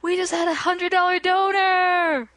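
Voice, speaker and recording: A woman co-hosting a casual podcast, tossing in quick jokey asides. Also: very high-pitched, little pitch movement, a bit bright, no room sound. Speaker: podcast co-host.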